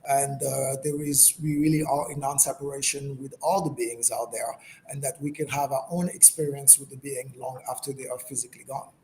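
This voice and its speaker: A man from Senegal speaking as a guest healer in a reflective interview, with halting, thoughtful phrasing. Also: medium pitch, level tone, darkish tone. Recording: clearly noisy background.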